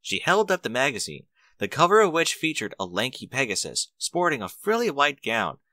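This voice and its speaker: A man reading scripted story narration in an expressive storytelling manner. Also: medium pitch, normal speed, no echo.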